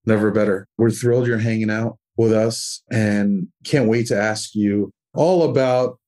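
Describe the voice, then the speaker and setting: A man's voice: fairly low pitch, fairly even intonation, a little slow, a little mellow. A man hosting a podcast, reading sponsor copy and asking questions in a friendly, enthusiastic way. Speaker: podcast host.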